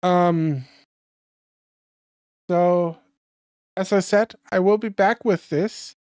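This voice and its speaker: A hoarse streamer reading a classic novel aloud, slowly and carefully, with small slips. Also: mid-range voice, fairly even intonation, a little mellow, no echo, studio quality. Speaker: streamer and reader.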